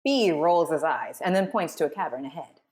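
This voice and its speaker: A woman's voice: deep voice, animated, normal speed, a little mellow. A woman hosting a live table read and narrating the scripted scenes. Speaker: host and narrator.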